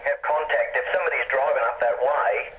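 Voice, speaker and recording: A man's voice: mid-range voice, steady tone, a little fast. Australian man over dull repeater radio. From Perth, Western Australia. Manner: laid-back, hesitant, ends turns with "over". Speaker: amateur radio operator. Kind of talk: casual radio chat.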